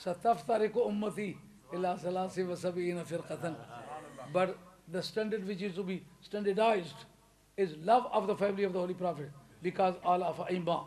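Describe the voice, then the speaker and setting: A man's voice: high voice, brisk. A man from Pakistan with a Pakistani accent, preaching an English sermon to a congregation with emphatic stresses and frequent chuckles. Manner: emphatic, rising to loud stresses, chuckles between points. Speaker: religious preacher.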